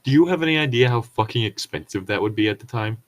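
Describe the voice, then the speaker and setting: A man's voice: mid-range voice, animated, fast talker. A man giving casual commentary and reading aloud as a co-host, in a rambling, incredulous, sweary manner. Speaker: co-host reading and reacting to online horror stories.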